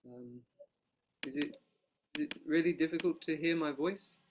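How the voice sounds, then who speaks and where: Soft-spoken monk guiding a meditation. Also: male voice, on the high side, fairly even intonation, a little hiss or hum, rough recording. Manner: soft-spoken, quiet, unhurried. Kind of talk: guided analytical meditation. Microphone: no dedicated microphone.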